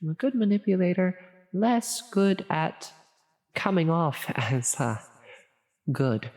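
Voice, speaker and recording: A woman playing a flustered, officious mid-level demon in a roleplay audio, halting and stammering as she tries to be helpful. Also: fairly low pitch, very animated, a little mellow. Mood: reassuring, helpful. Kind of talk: intimate roleplay audio for sleep. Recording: long reverberant hall echo.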